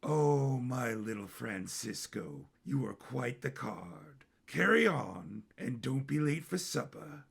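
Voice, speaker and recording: Man voicing a measured, menacing older king. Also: medium pitch, expressive, vocal fry, husky, pitched below the performer's own voice, exaggerated voice. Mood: fond. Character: cold, menacing older king, doting father.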